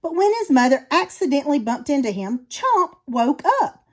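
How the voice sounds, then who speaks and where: A woman reading a children's storybook aloud as an expressive narrator. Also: high voice, moderate pace. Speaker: storybook reader.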